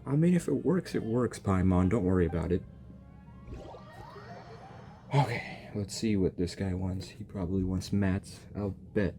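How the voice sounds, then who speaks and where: Low-voiced, joking young gaming YouTuber. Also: male voice, normal speed, music in the background. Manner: joking, excitable, talks back to the characters. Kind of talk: casual game reaction commentary.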